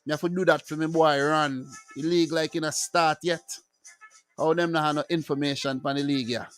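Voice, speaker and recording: A man doing a put-on Jamaican accent with patois phrasing and a quick, chatty delivery. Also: a little high, fairly even intonation, clear, resonant tone, dry recording, soft background music, natural voice.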